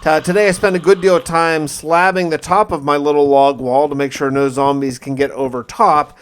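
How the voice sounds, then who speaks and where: Male gamer-narrator, slightly high voice, diary-style survival recap, casual yet dramatic storytelling with dry asides. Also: fairly even intonation, moderate pace, smooth.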